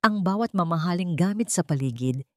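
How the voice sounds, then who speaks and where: A woman with a Filipino accent narrates a romantic drama in a low voice. Her delivery is measured and theatrical, broken into short phrases, and the recording is dry and close. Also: animated, a bit bright, dry recording. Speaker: storyteller. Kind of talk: scripted dramatic narration of a Tagalog love story.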